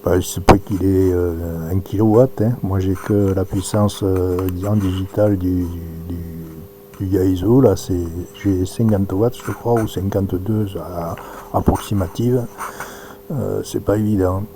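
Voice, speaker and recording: A man giving an informal live demonstration, talking haltingly as he thinks aloud through each step. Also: sits low, steady tone, noisy background. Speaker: presenter demonstrating amateur radio software.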